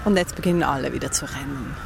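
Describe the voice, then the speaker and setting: A woman reporter describing the scene on location outdoors, observational and pausing to find her words. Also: rumbling low, gravelly. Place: outdoors in busy city streets and park. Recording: loud music and traffic behind.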